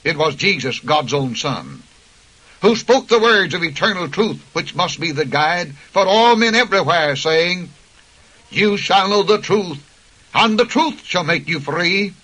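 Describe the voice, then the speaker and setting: Man, preacher, emphatic sermon delivery, high-ish voice, forceful. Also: animated, a little slow, low-quality recording. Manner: impassioned, emphatic preaching that cites scripture. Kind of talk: radio sermon.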